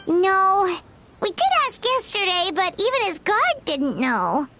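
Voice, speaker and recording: A woman voicing a small, excitable female fairy companion in a game, talking in a squeaky, shrill, bouncy way. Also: a little hiss or hum, exaggerated voice. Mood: disappointed. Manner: bouncy, exclamatory, quick to complain. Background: game music.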